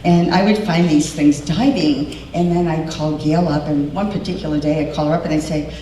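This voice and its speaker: A woman hosting a community event and speaking loudly to an audience, chatty and rambling, with many fillers. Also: sits low, fairly even intonation, moderate pace. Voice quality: loud voice. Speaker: event host and diver. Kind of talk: casual public speech to an audience. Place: large echoey hall with an audience. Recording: echoey hall, voice from far off, faint noise.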